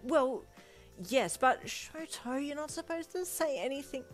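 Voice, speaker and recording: A woman voicing a flustered, defensive teenage student in a story, with an excitable, stammering delivery. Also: mid-range voice, drawn-out words, soft background music, pitched above the performer's own voice. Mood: panicked, embarrassed.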